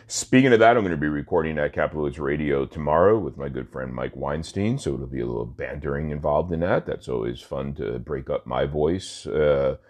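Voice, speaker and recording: A man hosting his own daily podcast, talking casually. He rambles with many fillers and self-corrections, and he sounds stuffy from allergies. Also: rumbling low. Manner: relaxed, smoking while talking. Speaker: podcast host. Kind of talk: casual solo podcast talk.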